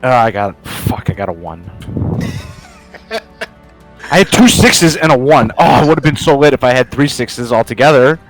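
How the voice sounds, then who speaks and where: Casual male game player, medium pitch, very lively delivery, loose and sweary. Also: normal speed, pauses often, soft background music, rough recording. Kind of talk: casual, excitable game chat.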